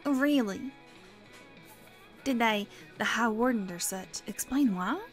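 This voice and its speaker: A woman's voice: a little high, takes it slowly, faint music behind. A woman playing a curious, no-nonsense young woman in an audio drama, probing and direct.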